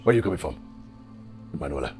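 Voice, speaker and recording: A man's voice: rumbling low, lively intonation, fast talker, a little mellow, faint music behind. An actor with a Nigerian accent playing an agitated, pleading husband and father in a film drama, stammering under stress. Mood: stern. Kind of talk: naturalistic film drama acting.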